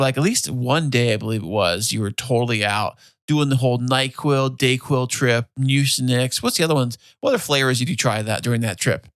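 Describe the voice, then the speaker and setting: A man's voice: medium pitch, a bit bright, dry recording, clean studio sound. A man co-hosting a podcast in casual chat, easygoing and playful, teasing his partner. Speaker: podcast co-host.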